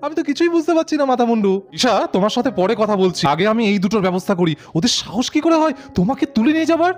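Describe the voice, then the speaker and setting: A man voicing a friendly, naive, excitable young man in a doll cartoon, chatty and earnest. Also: very high voice, rapid-fire. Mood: puzzled.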